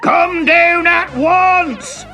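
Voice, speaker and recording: A man voicing a stern village policeman who shouts and scolds a boy. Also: very high voice, extremely slow, soft background music, voice pushed up, exaggerated voice. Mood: scolding. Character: gruff village police constable, male adult, stern.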